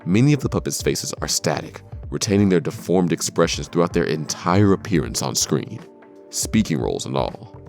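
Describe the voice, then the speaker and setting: A man's voice: low-pitched, very animated, normal speed, gravelly, darkish tone, faint music behind. A man reading scripted narration for a countdown video.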